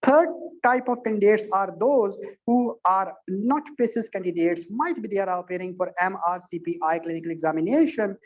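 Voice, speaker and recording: A man tutoring exam candidates in an online session, lecturing earnestly with frequent repetitions and restarts. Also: high voice. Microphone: video call. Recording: dull lo-fi online call audio.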